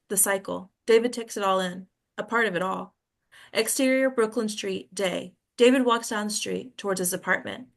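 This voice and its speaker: A woman's voice: mid-range voice, a bit bright. Woman narrating script stage directions. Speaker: host and stage-direction narrator of a table read.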